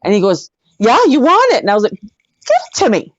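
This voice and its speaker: A woman's voice: on the high side, huge pitch swings, fairly quick. A woman guest telling casual anecdotes in an effusive, emphatic way, repeating herself for stress. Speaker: guest, rock singer. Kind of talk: casual anecdote storytelling in an interview. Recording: dull lo-fi audio, roomy echo.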